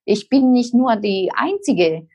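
Woman guest, Spanish accent, slow and husky, careful explaining with restarts. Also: fairly high pitch, big pitch swings, a little mellow. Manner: explains slowly with many fillers and restarts. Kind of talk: interview answer.

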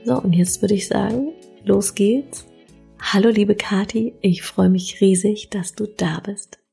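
A woman's voice: medium pitch, measured pace, creaky, mellow, breathy edge, soft background music. A woman hosting a podcast, speaking with effusive enthusiasm as she introduces her guest. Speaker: podcast host.

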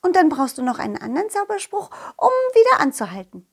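A woman's voice: extremely high pitch, wildly swooping pitch, brisk, exaggerated voice. A woman voicing a kind, helpful fairyland friend in a children's audio play, sing-song and gushing as she explains things. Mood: patient, instructive.

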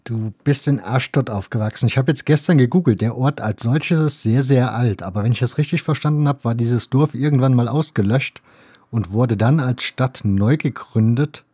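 A man's voice: mid-range voice, normal speed, dark tone, husky, no echo, clean studio sound. A man hosting a podcast, asking questions in a conversational interview style.

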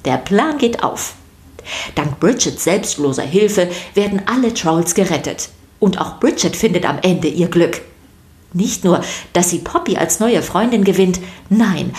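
A woman's voice: fairly low pitch, animated, a little slow, a little mellow. A woman narrator reading a scripted podcast for children.

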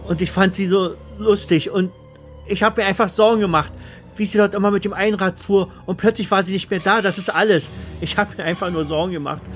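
A man's voice: sits high, fairly even intonation, brisk, soft background music, close to an everyday voice. A man playing a frightened, ordinary accountant in an audio thriller, stammering and repeating himself as he pleads. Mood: anxious pleading. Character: frightened, dull, ordinary male accountant pleading his innocence. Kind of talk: radio thriller acting.

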